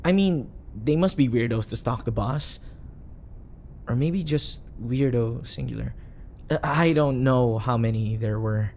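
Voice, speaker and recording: A man's voice: mid-range voice, measured pace, darkish tone, a little hiss or hum, natural voice. A man playing an awkward, eager, obsessive bodyguard sidekick who rambles and corrects himself in an audio roleplay. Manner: rambling, flustered, self-correcting.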